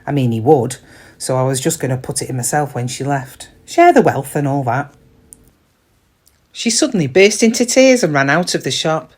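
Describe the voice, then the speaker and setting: An actress playing a middle-aged British shop worker in a confiding, wry, conversational monologue, kind but quietly weary. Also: female voice, low voice, expressive, moderate pace, slightly rough. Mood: troubled, reflective. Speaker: actor in a dramatic monologue.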